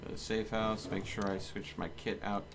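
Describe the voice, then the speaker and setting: A man's voice: fairly low pitch, little pitch movement, fairly quick, background noise. A man giving casual, blunt and grumbling live commentary while streaming a game. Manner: blunt, grumbling, mildly cursing.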